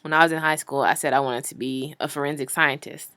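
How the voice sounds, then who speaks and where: A woman's voice: deep voice, steady tone, quick pace, noisy background. A woman hosting a casual podcast interview, asking curious questions in loose, conversational talk. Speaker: podcast host.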